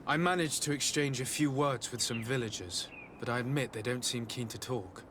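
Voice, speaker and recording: An actor playing a polite, measured investigating envoy in a fantasy video game, formal and composed. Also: male voice, medium pitch, a bit bright, a little hiss or hum, close to an everyday voice. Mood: thoughtful.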